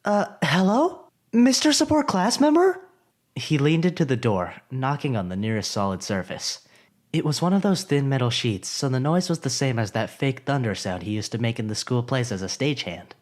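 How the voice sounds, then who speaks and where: A man narrating a story in an expressive, scripted storytelling way. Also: medium pitch, a little fast.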